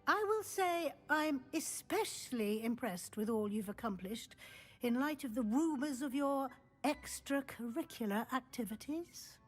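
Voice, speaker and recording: Stern older witch professor from a video game, formal n dry, highish voice, music behind. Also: animated, a little slow. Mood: formal, probing. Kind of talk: video game cutscene acting.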